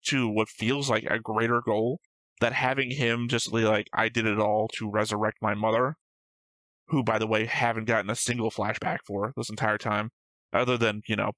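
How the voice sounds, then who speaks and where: A man's voice: fairly low pitch, a bit bright, dry recording, poor audio. A man co-hosting a reaction show, chatting casually and analytically, thinking aloud and swearing freely. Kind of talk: casual analytical chat about an anime episode.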